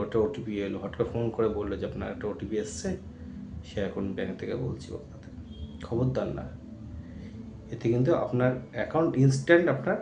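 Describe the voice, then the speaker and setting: A man's voice: on the low side, vocal fry, darkish tone. A man with an Indian Bengali accent giving an informal, earnest public-awareness talk in a cautioning manner. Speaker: video creator warning about fraud. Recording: echoey room with faint noise.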